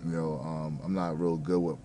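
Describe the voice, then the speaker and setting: A man in his thirties from New Jersey, talking casually about his work and plans, with a deep voice that tends to mumble, stumbling over words, full of fillers and curse words. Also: little pitch movement, moderate pace, warm tone, slight background noise. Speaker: restaurant manager and fitness vlogger. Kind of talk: casual rambling monologue.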